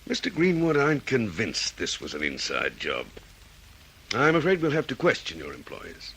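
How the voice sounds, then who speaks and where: An actor playing a methodical, questioning police detective in an Australian radio drama, with an Australian accent. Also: male voice, medium pitch, wildly swooping pitch, a bit bright, slight background noise. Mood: probing. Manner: deliberate, questioning.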